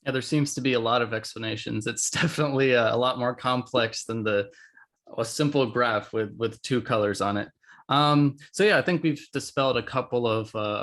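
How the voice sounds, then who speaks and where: A man hosting a casual podcast interview, speaking haltingly as he searches for words. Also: mid-range voice, steady tone, a bit bright. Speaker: podcast host.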